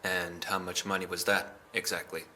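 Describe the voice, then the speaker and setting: An actor playing a stern, suspicious police interrogator in an audio drama, formal and pressing. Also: male voice, sits low, little pitch movement, moderate pace, sharp tone. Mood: probing.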